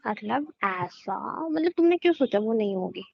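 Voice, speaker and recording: A woman's voice: mid-range voice, expressive, moderate pace, vocal fry. A woman playing a cheeky, stubborn young bride-to-be who gives curt, teasing replies in an intimate phone-call drama. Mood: shy, hushed. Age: young adult. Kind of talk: intimate phone-call audio drama, casual Hindi banter.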